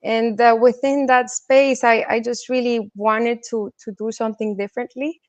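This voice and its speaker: A woman's voice: a little high, steady tone, normal speed, dry recording, lo-fi sound. Woman, dry close sound, interview guest. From Colombia, also raised in the States and China. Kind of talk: casual conversational interview answers.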